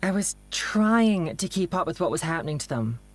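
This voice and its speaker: An actress as a low-voiced, stressed, caring woman. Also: big pitch swings, normal speed. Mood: earnest, worried. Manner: casual, candid, conversational. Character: stressed, caring woman confiding in her partner. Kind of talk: naturalistic audio drama dialogue.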